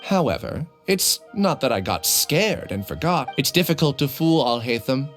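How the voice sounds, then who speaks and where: An actor playing an earnest, quietly resolute man from a hive mind in a video game, speaking in a formal, explanatory, remorseful way. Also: medium pitch, big pitch swings, moderate pace, faint music behind, lightly acted. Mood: earnest, confessional. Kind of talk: dramatic video game acting.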